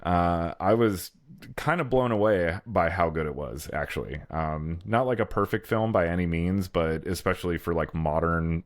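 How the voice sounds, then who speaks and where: A man's voice: deep voice, moderate pace, dry recording, clean studio sound. A man chatting casually and informally as a podcast co-host, with some swearing. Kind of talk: casual chat about horror movies.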